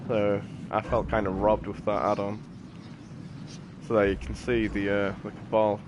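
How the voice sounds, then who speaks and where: A man's voice: on the low side, level tone, moderate pace, background noise. A man giving casual gameplay commentary in a rambling, matter-of-fact, slangy way. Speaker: game streamer. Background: game music and sound effects.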